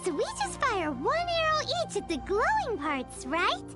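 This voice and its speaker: A very high, squeaky little fairy girl from a video game. Her cheeky, childlike voice bounces around, with loud music behind it. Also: huge pitch swings, a little slow, full character voice. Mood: chirpy, matter-of-fact. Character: tiny cheeky childlike female floating fairy companion. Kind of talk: English video game voice acting.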